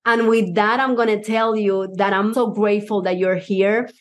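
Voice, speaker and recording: A woman's voice: medium pitch, fairly even intonation, normal speed. A woman from Cuba with a Puerto Rican accent, Spanish her first language, giving an enthusiastic motivational talk to a live audience as a mindset coach. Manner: enthusiastic, personal storytelling.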